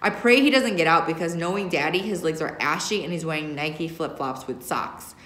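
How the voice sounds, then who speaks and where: A woman in her thirties, a teacher reading a young-adult novel aloud in an expressive first-person narrating style. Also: low-pitched, brisk. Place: indoor classroom. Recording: echoey classroom recording.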